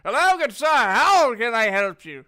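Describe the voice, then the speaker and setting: A woman's voice: a little high, big pitch swings, moderate pace, bright, forceful tone, clean tone. A woman voicing a polite, eager male merchant in a tabletop fantasy game, with courteous salesman patter.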